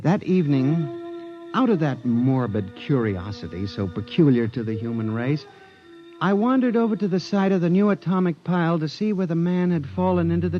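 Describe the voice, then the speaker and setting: A man's voice: medium pitch, natural voice. An actor playing a nervous male statistical clerk who narrates a 1950s radio drama hesitantly. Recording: dull old radio broadcast with faint music.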